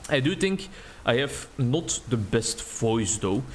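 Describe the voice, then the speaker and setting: A young Belgian-accented man talks through his gameplay at an easy, meandering pace, thinking aloud between remarks. His voice sits at an ordinary middle pitch. A faint hiss of noise runs underneath the recording. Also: slow pace. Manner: rambling, relaxed, thinking aloud. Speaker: gaming video creator. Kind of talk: casual gameplay commentary. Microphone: desk mic with webcam.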